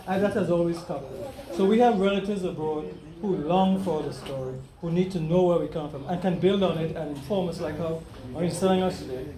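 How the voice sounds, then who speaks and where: An older Trinidadian man giving an earnest, preachy speech to relatives at a family gathering, repeating phrases for emphasis. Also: high voice. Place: home gathering after a funeral service. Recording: echoey room with loud background noise.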